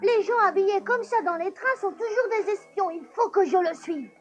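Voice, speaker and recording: A woman's voice: very high-pitched, normal speed, faint music behind. A woman voicing an eager, mischievous young boy in a dubbed cartoon. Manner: eager, breathless boyish chatter.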